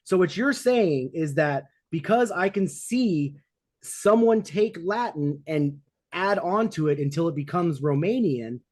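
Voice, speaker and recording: A man debating, making insistent, measured rebuttals. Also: a little high, lively intonation, a little mellow. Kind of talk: heated debate.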